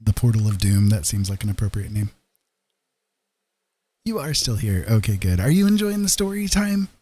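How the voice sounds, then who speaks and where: A man with a deep voice talking casually and quietly to livestream viewers, at home on a podcast microphone. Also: expressive, moderate pace. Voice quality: deep, husky. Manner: soft-spoken, holding his voice down so as not to wake someone. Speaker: livestreaming digital artist, former radio talk show host. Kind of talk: casual chat with stream viewers. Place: room at home. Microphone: podcast microphone through a mixer, at a desk.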